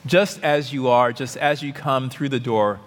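A man leading a church service, welcoming new members to the congregation in an expressive address. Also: mid-range voice, measured pace. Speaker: worship leader. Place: large church sanctuary. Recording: hall reverb with congregation behind.

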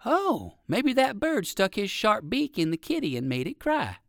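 Storybook old gentleman rabbit, played by a man: courteous, curious, lifted pitch, animated delivery. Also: unhurried, vocal fry, no room sound, clean studio sound, exaggerated voice. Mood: curious, surprised. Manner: polite, expressive, old-fashioned exclaiming.

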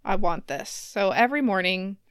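A woman's voice: medium pitch, lively intonation, normal speed, a bit bright, clean tone, dry recording, clean studio sound. A woman in her thirties chatting casually and telling stories with wry humor as a podcast co-host. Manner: wry, laughs often.

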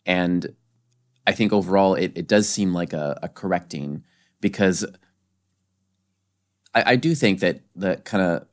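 A man co-hosting a casual discussion podcast, rambling with many restarts and fillers. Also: deep voice. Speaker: podcast co-host.

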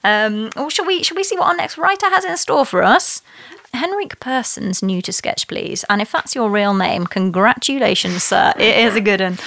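A woman's voice: on the high side, lively intonation, normal speed, vocal fry, a bit bright, no room sound. A woman chatting casually as the host of a podcast. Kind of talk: casual chat.

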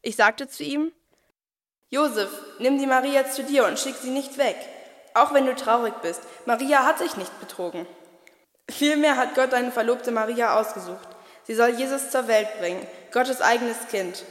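A chatty, cheeky archangel , played by a woman, speaks in a fairly high voice. The figure presents a nativity radio play like a confident heavenly messenger with something to sell. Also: normal speed, lightly acted. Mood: explanatory, confident.

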